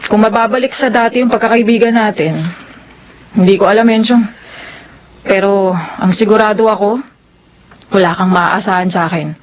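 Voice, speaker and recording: A woman's voice: mid-range voice, moderate pace, long pauses, close to an everyday voice. A woman playing a strong-willed, guarded and earnest tomboy in a radio drama. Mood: cold, weary. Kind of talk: radio drama acting in Filipino soap.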